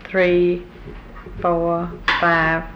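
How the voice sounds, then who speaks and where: An older woman, unhurried and pausing as she recalls family history in a taped oral history interview. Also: fairly low pitch, monotone, dull audio. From Southern United States. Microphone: tape recorder microphone. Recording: old tape recording with faint hiss.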